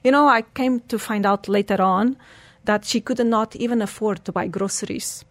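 A woman's voice: medium pitch, moderate pace, dry recording. A woman, telling her life story earnestly as an interview guest. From Portugal. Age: 40s. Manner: earnest, storytelling, slightly broken English phrasing.

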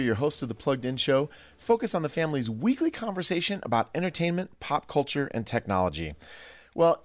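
A man hosting a studio discussion podcast in a playful, effusive and emphatic way. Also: medium pitch. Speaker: podcast host.

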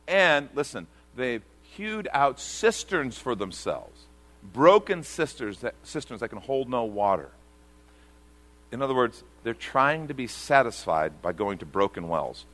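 A man's voice: medium pitch, very animated, measured pace, a bit bright. A man pastor preaching a sermon to a congregation, earnest and repeating key phrases for emphasis.

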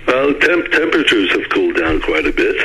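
A man's voice: on the low side, very animated, a little fast, crowd noise, low-quality recording. A middle-aged man from Brooklyn, a former mayor, giving an earnest interview over the phone and often repeating words as he starts a sentence. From United States (Brooklyn). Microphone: phone line.

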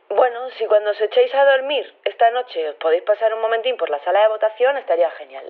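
Audio drama resident, acted: earnest, hesitant campaigner, Castilian accent, mid-pitched, phone-line sound. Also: lightly acted. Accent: Castilian Spanish. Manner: hesitant, rambling. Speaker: resident leaving a public voice message. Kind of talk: acted informal voice message. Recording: tinny narrow phone line.

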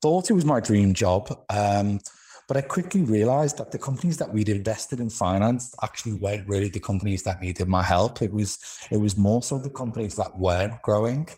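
Deep-voiced Liverpudlian man. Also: fairly quick, dark tone, breathy edge. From Liverpool, England. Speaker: interview guest, entrepreneur. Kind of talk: casual interview talk.

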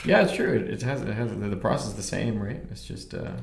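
A man guesting on a podcast, chatting casually and easygoingly, often agreeing and tossing in quick asides. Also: lowish voice, expressive, vocal fry. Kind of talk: casual chat. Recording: echoey room.

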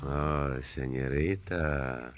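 An actor playing a gallant, roguish Mexican cowboy hero in an old radio western, with a Mexican accent and a courtly, teasing manner. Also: male voice, extremely low pitch, extremely slow, highs cut off, exaggerated voice. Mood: tender, teasing. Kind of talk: 1950s radio western acting. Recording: noisy, bright old radio recording.

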